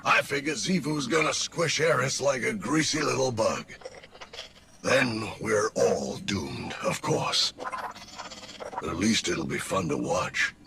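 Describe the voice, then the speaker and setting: A man playing a snide, cynical robotic companion in a video game, with a gravelly voice and a sarcastic, slangy drawl, over background noise. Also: on the low side, exaggerated voice. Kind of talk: video game character dialogue.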